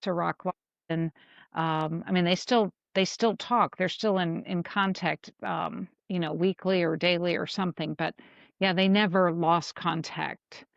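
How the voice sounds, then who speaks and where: A woman with a low, gravelly creak co-hosts a casual fan chat. She talks haltingly, feeling for her words. The audio is dry and a little dull. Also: steady tone, no echo. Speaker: podcast co-host.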